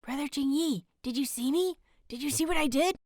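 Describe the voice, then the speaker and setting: A woman voicing a small young boy disciple in an audiobook, eager, adoring and breathless with excitement. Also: high voice, a little fast, no room sound, clean studio sound, pitched above the performer's own voice. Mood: excited.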